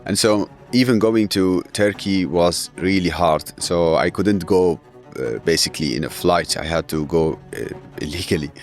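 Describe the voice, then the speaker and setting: Male guest, 30s, very low voice, halting earnest delivery, faint music bed. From Syria. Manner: earnest, halting, with frequent fillers and restarts. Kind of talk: emotional interview.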